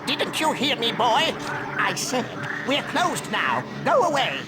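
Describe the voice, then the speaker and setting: An irritable shopkeeper in a video game. The gruff, dismissive words come slowly, under loud music. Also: medium pitch, very animated. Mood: irritated.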